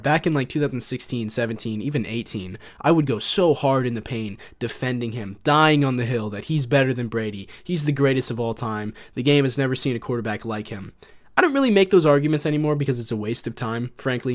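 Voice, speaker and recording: A man co-hosting a sports podcast, talking casually and making his case conversationally. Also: mid-range voice.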